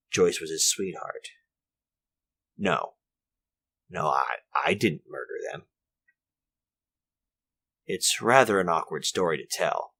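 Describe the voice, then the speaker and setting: An elderly inventor in an old-time radio play, with a low, bright-edged voice. He is courteous and formal, rambling along with polite hesitations, a genteel invalid telling his story. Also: full character voice. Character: elderly, courteous infirm inventor of artificial limbs. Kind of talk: retro 1940s radio drama acting, monologue.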